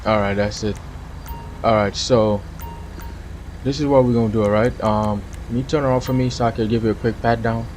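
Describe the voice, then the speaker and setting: A man's voice: mid-range voice, steady tone, fairly quick, a little mellow, slight background noise. A man from Trinidad with a Trinidadian accent, casually commentating a police game stream and chatting with viewers. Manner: casual, chatty, laughs often. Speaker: gaming streamer. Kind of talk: live game commentary with chat.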